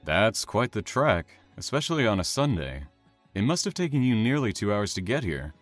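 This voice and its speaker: Actor as a low-voiced, politely reserved man. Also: big pitch swings, normal speed, no echo, faint music behind. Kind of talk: naturalistic audio drama acting.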